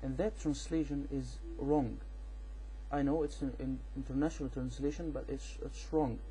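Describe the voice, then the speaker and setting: A man with an Egyptian accent, a native Arabic speaker, giving an informal explanatory rebuttal in a halting, repetitive, insistent way. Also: medium pitch, steady tone, mellow, slight background noise, low-quality recording. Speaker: amateur video responder on religion.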